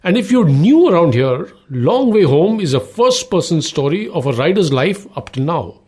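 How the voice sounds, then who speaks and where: Husky, joking male podcast host. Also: on the high side, very animated, moderate pace, darkish tone. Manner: joking, teasing. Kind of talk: casual podcast hosting.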